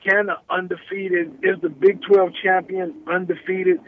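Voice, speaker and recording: A man's voice: high voice, flat delivery, unhurried, a little hiss or hum. A man calling in as a guest football analyst, easygoing, with hesitant fillers. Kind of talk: phone-in radio interview. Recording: tinny phone line.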